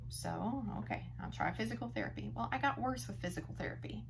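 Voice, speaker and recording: Woman, 30s, earnest vlogger testimonial, a little gravelly, faint room hiss behind. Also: a little high, expressive, fast. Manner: earnest and empathetic, speaks directly to the viewer. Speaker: vlogger sharing her chronic pain story. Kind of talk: heartfelt personal testimonial.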